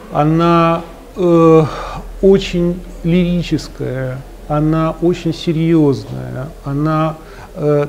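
Brief: middle-aged man, slightly high voice, halting thoughtful interview, faint room hiss, lapel mic. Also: a little mellow. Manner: thoughtful, hesitant with fillers. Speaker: pianist and concert organizer. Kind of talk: on-camera interview. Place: school concert hall foyer, indoors.

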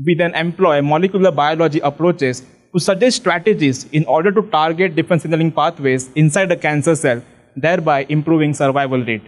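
A young man giving an earnest, formal research pitch into a handheld mic in a large hall. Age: young adult. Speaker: graduate student pitching research. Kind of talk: memorized elevator pitch. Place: large hall with glass walls, indoors. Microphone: handheld microphone.

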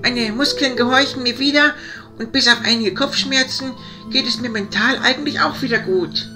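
Woman playing a high-voiced, earnest fantasy hero. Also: normal speed, music in the background, close to an everyday voice. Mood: puzzled, reflective. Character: brave human male hero, puzzled and recovering. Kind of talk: German fantasy audio drama.

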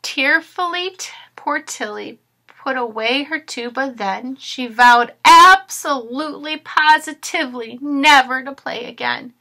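Female teacher, thirties, fairly high bright voice, expressive and direct, explaining things to young listeners. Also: unhurried, vocal fry. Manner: expressive, instructive, addressing children directly. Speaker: teacher reading aloud to children. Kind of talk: storybook read-aloud with grammar explanations. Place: small furnished room at home.

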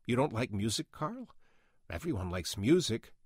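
A man's voice: medium pitch, big pitch swings, moderate pace, husky, dry recording, studio quality, voice pushed up. A man voicing a pushy, manipulative record company man who coaxes and needles insistently in a dramatic audiobook reading. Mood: coaxing, needling.

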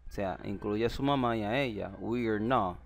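A man whose first language is Spanish, teaching an English lesson in Spanish and slowly repeating phrases in both languages. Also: on the low side, gravelly.

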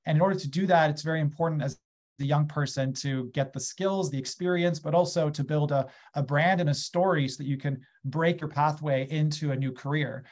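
An enthusiastic man in his thirties with an American accent talks through a webcam from a small room at home. His voice sits a little high for a man, and he keeps an eager, gesturing energy as he explains his work to a webinar audience. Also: fairly even intonation, fairly quick, darkish tone. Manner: enthusiastic, gestures a lot while speaking. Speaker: guest speaker, agriculture company founder. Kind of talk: conversational webinar talk. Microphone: webcam on a video call.

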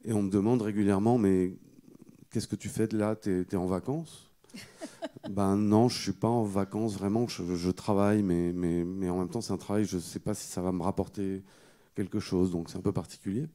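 A middle-aged man with a low, very dark and soft-edged voice speaks unscripted as a panel guest. He feels his way through the story, hesitating and doubling back on words. He holds a microphone close. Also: steady tone. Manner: halting, hesitant delivery with repeated words. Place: indoor auditorium stage. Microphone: handheld microphone held close.

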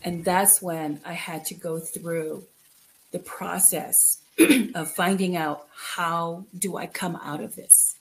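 A middle-aged woman from the Philippines giving a candid, reflective personal account as an interview guest, halting and repeating phrases for emphasis. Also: fairly low pitch, steady tone. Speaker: guest, author. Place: indoor room, video call. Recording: noisy video call.

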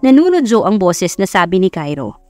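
A woman's voice: mid-range voice, sing-song, brisk, dark tone, dry recording. Filipino woman narrating romance, music behind. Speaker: audiobook narrator. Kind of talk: dramatic scripted romance narration.

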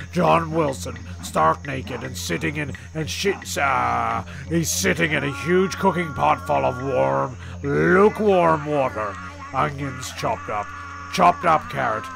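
Guy playing a rambling exasperated narrator for a comedy serial, really gravelly, bright voice, music under it. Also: on the high side, measured pace. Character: flustered, exasperated male narrator of a comic castaway serial. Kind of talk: improvised comic audio-drama narration.

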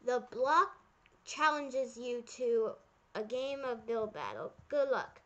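A female gamer with a high voice chats casually as she plays along in a roleplay. The recording has clear background noise and some clicking. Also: lively intonation, slow pace, fairly bright tone, dull audio. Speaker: gamer playing a sister character in a roleplay video. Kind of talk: casual gameplay roleplay chat.

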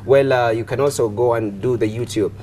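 A man's voice: mid-range voice, steady tone, brisk, a little mellow. A young man with a Ugandan accent hosting a casual TV interview, talking in a chatty, repetitive way. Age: young adult. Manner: chatty, repetitive, punctuated with "you know". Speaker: TV host. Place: TV studio. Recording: clearly audible background noise.

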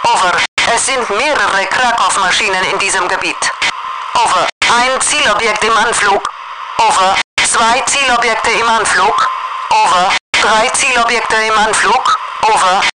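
Game casting: formal female police operator, clipped callouts, radio effect under loud noise. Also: a little high, a little slow. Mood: businesslike. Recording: very noisy radio transmission.

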